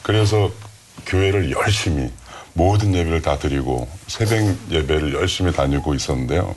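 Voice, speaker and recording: A man guest with a deep, low-toned voice, telling a personal story politely and plainly in a conversational interview. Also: normal speed, slight background noise.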